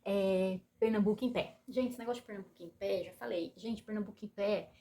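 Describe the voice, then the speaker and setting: Brazilian woman vlogger, Brazilian Portuguese accent, chatty, swinging from excitable to heartfelt. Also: mid-range voice, brisk. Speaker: YouTuber. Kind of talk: casual chat to camera.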